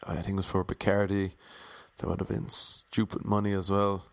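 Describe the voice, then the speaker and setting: Wry young Irishman, low dry voice. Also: level tone, normal speed, a bit bright, dry recording. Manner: wry, self-mocking, playfully imitating others. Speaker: actor telling about auditions. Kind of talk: casual storytelling monologue.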